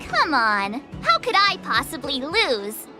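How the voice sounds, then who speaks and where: An actress playing an eager, naive, excitable teenage apprentice swordfighter in an anime-style video game, with an earnest, plucky delivery. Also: female voice, high-pitched, slow pace, music in the background, full character voice. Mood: cocky.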